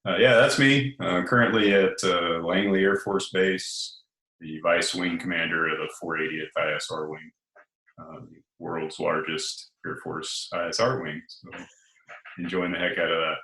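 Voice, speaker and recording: A man in his forties, an Air Force officer and author, chatting casually as an interview guest in a deep monotone voice, relaxed and full of hesitations. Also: moderate pace, reverberant, low-quality recording. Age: 40s. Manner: relaxed and hesitant, many uh fillers.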